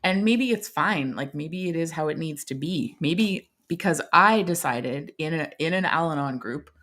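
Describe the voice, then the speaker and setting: A woman in her thirties, co-hosting a recovery podcast in casual chat, earnest and halting with many restarts. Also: low-pitched, lively intonation, a bit bright. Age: 30s. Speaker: podcast co-host.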